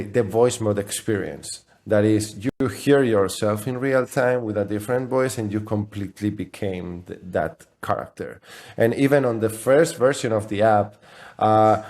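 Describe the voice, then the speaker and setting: Low-pitched man, non-native English speaker, interviewed. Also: a little mellow. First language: not English. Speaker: interview guest, software company CEO. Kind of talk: conversational interview storytelling.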